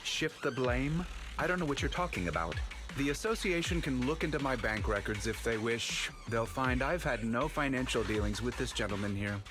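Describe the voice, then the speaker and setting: An actor voicing a smug, shifty middle-aged school principal in a video game, with a pompous, oily manner. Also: male voice, medium pitch, expressive, over music, natural voice. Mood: dismissive. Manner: oily, pompous, evasive, drawn-out mock courtesy. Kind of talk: English video game voice acting.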